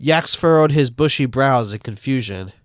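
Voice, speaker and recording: A man's voice: medium pitch, normal speed, no echo, clean studio sound. Man narrating fan fiction. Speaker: fanfic reader. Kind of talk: reading fan fiction aloud.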